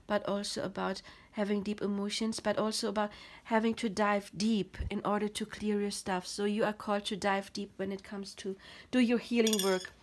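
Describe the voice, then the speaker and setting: A woman with a German accent giving a pick-a-card tarot reading in a gentle, reassuring, explanatory way, her throat dry and her voice a little tired. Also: mid-range voice, a little hiss or hum. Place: home, at a table. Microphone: camera over the table, speaker off screen.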